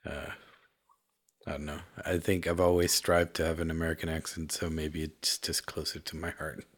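A man's voice: a bass voice, level tone, normal speed, breathy edge. A man co-hosting a podcast in a casual chat on a call, laid-back and joking. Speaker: podcast co-host.

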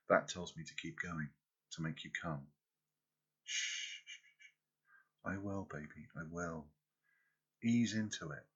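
Deep husky man, slow teasing murmur. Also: highs cut off. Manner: soft, teasing, murmured close to the ear. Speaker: audio roleplay performer. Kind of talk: intimate scripted audio roleplay.

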